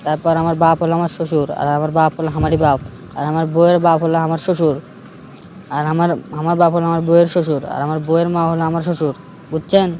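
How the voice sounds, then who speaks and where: A man voices a cranky old madman uncle from a comic cartoon, aggrieved and always haggling. His Bogura accent comes rattling out at high speed in a slightly high voice. He blusters and repeats himself, and the recording has plainly audible noise. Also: flat delivery, quick pace, dark tone, lightly acted. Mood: explaining. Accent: Bogura, northern Bangladesh. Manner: quarrelsome, blustering, repetitive. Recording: noisy recording with clear background noise.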